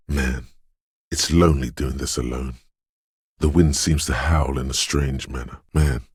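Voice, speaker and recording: An extremely deep, heavily husky inner voice for a video game, brooding and dreamlike. It speaks slowly and solemnly, like intuition whispering ominous poetry to its owner. The recording is close and completely dry. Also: male voice, darkish tone, no room sound, heavily acted. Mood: lonely, wistful. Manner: slow, solemn, ominous, poetic. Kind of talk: scripted video game narration, second person.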